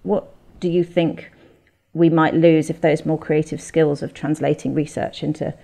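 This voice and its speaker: A woman's voice: low-pitched, flat delivery, unhurried, gravelly, mellow. A woman hosting a podcast, putting interview questions and reading scripted narration.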